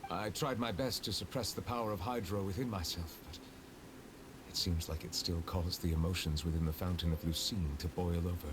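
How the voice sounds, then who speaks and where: A man's voice: deep voice, fairly even intonation, background noise, close to an everyday voice. A man voicing a formal dragon judge. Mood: reserved. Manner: measured, formal, reserved. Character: calm formal dragon judge in human form, male. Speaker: game character. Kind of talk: voiced video game dialogue. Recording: game audio with loud music.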